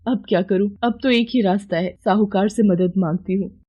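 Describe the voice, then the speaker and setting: A woman acts a devoted, anxious village wife in a storybook drama. Her voice is soft-toned and husky, polite and pleading. The recording is a little dull. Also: medium pitch, normal speed, lightly acted. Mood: worried. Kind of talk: Hindi moral story voice acting.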